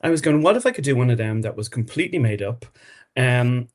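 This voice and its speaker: A man's voice: medium pitch, expressive, fast talker. A man talking casually as an interview guest on a podcast. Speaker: podcast guest, audio drama writer-director.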